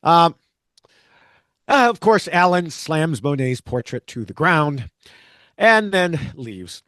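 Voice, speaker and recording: Lively-voiced man recapping a show. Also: fairly high pitch, slow pace, no echo, clean studio sound. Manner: lively storyteller, laughs often. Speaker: podcast host. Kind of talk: episode recap and chat.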